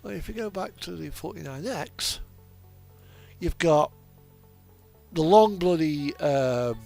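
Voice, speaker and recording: A man's voice: fairly high pitch, expressive, slow, creaky, a little mellow. A man giving casual, rambling game commentary in a conversational, opinionated, mildly sweary way. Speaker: streamer playing a truck simulator. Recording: music playing behind the voice. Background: radio music.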